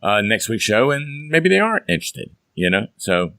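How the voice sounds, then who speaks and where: A hoarse man hosts a folksy, upbeat podcast chat. His voice sits on the low side yet comes across bright and forceful. The sound is dry and close, with no room around it. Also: sing-song, normal speed, no room sound. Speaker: podcast host. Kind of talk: casual chat.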